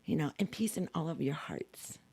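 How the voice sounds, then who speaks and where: A woman co-hosting a casual livestream, bubbly and quick to exclaim greetings and laugh. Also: deep voice, expressive, mellow, husky, no room sound. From Florida, USA. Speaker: co-host.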